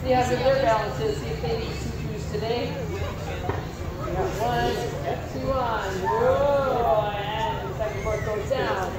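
A woman calls a fair contest play-by-play, upbeat and quick with countdowns. Her high voice reaches the mic from far off, bouncing around a covered arena. A busy crowd and loud ambient noise fill the background. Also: steady tone. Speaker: event announcer. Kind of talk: live event commentary. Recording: echoey PA sound from afar, crowd noise.

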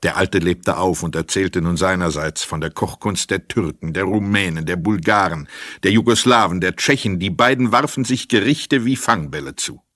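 A male narrator with a deep voice reads scripted literary prose for a radio crime drama. The sound is dry, with no room around it. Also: fairly bright tone, no room sound. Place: dry studio.

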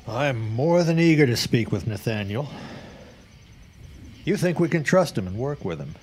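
A man's voice: medium pitch, sing-song, normal speed. An actor playing a wary, grave 1910s newsman in a radio drama, speaking in formal, old-fashioned phrases. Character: grim, wary 1910s newsman and worried father. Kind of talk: period radio drama acting.

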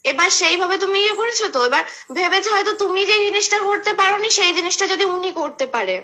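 Young woman, high quick voice. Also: animated, pushed and bright. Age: young adult. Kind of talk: casual phone conversation.

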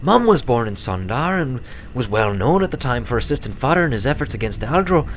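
A man's voice: mid-range voice, sing-song, fairly quick, a little hiss or hum, voice pushed up, poor audio. A man voicing a smug, serious young filly pony who explains things matter-of-factly in a story reading. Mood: earnest recounting.

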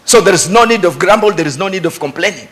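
High-pitched Kenyan preacher, emphatic man. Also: expressive, fast, fairly bright tone. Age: middle-aged. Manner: emphatic, repeats key phrases for effect. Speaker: pastor. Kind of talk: animated church sermon with Swahili asides. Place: church stage before a congregation. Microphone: handheld microphone.

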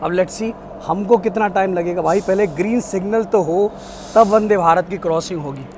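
A young man with a fairly high voice, talking eagerly and straight to his viewers. He films himself on a phone held at arm's length. Faint railway noise sits in the background. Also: normal speed. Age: young adult. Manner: excited, chatty, addresses viewers directly. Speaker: train travel vlogger. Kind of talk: casual travel vlog. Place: train carriage and outdoor station platform.

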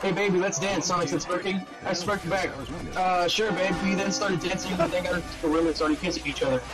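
A man doing a mocking dramatic reading of fan fiction, halting and stumbling over words, with casual sweary asides. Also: high voice, steady tone, over loud music.

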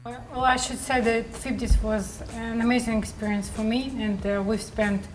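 A young woman from Russia, giving an earnest student testimonial with halting fillers. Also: a little high, steady tone, faint music behind, low-quality recording. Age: young adult. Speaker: master's student. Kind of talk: testimonial interview.

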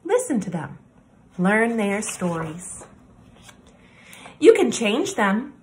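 Slow, lively woman reading a picture book. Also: medium pitch, slight background noise. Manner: deliberate, expressive phrasing with pauses. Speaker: children's story time presenter. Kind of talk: read-aloud picture book narration for children.

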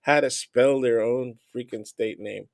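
A man's voice: mid-range voice, fairly even intonation, a little slow, vocal fry, darkish tone, no echo. Blunt older American man, dry close sound. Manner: blunt, salty, jokey. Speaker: talk show guest. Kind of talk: casual banter and commentary.

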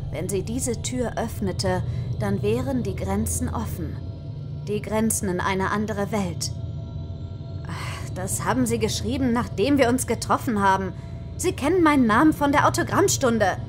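A woman's voice: fairly high pitch, unhurried, natural voice. An actress playing a bold but nervous young woman, a horror fan caught intruding, in an audio drama. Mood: tense, sceptical. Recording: music bed under the voice.

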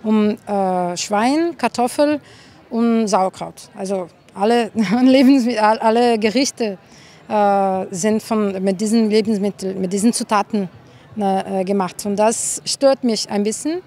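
Mellow Brazilian woman, hesitant speaker. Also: mid-range voice, unhurried. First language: Portuguese. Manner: hesitant, searching for words. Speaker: interviewee. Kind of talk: casual interview in a second language. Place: outdoors on a courtyard path. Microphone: interviewer's handheld microphone.

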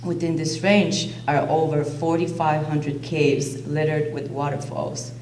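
A woman's voice: low voice, fairly even intonation, unhurried, a little mellow. A young woman with a Bulgarian accent, reading a prepared student speech at a podium in an auditorium. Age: young adult. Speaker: student speaker. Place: indoor auditorium stage. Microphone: podium microphone. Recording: roomy hall, distant podium mic, faint hum.

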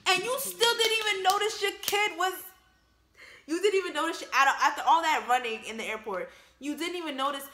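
A woman's voice: very high voice, fairly quick, bright, forceful tone, roomy sound. A woman in her twenties giving casual, laughing commentary on a film, full of slangy asides, speaking into a wired earphone mic in a bare room. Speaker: YouTuber reacting to a film.

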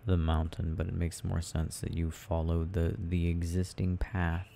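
A man with a mellow, gravelly voice rambles through a video game in an ASMR style. He muses to himself haltingly and takes his time, with slight noise behind him. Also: sits low, monotone. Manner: murmured, halting, musing to himself. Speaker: audio performer playing a boyfriend gaming.

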